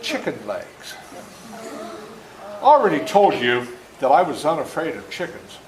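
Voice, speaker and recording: An elderly man tells a story to children in a folksy, animated manner. His fairly high voice moves through a lively range of pitch. He sounds like he is in a roomy indoor space with some echo. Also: unhurried. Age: 70s. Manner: folksy, interactive, acts out moments. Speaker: author reading his book to children. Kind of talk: animated storytelling read aloud to a young audience. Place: indoor room before a school audience. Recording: roomy echo, faint background noise.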